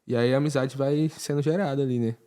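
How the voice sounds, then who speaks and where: A man from Brazil in a relaxed podcast chat, his voice sitting in a middle range. He tells stories with an easy, animated flow and laughs often. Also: medium pitch, fast, clear, resonant tone. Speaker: podcast guest. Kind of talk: casual chat.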